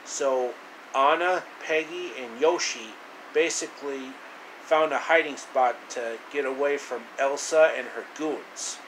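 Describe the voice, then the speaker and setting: A man casually retelling a story in a halting, informal way. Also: mid-range voice, rough recording. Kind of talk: casual retelling of a fairy-tale parody. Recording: steady air-conditioning noise.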